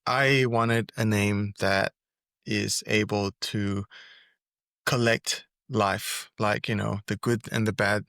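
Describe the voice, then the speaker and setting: Easygoing low-voiced man, dry sound. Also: fairly even intonation, slow, a bit bright, no echo. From Singapore. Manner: easygoing, hesitant, often restarts phrases. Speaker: musician guest. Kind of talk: casual interview answers. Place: Seoul, recording remotely.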